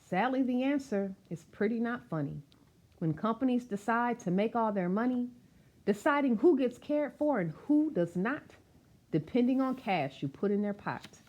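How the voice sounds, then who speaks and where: A woman reading a rhyming picture book aloud as a storyteller, expressive and emphatic, with questions and asides to her listeners. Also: on the high side, moderate pace, dull audio.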